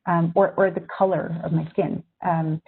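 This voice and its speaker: A woman's voice: on the low side, fairly even intonation, normal speed, breathy edge. A woman who grew up in Syria, a magazine editor, giving a thoughtful, reflective webinar talk to journalism students over a video call. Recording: tinny video-call audio.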